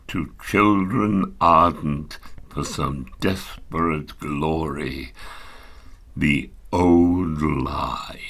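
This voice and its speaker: Very slow, deep gravelly man reciting. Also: a bit bright. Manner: grave, deliberate phrasing with long pauses. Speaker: poetry reader. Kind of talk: dramatic war poetry recital.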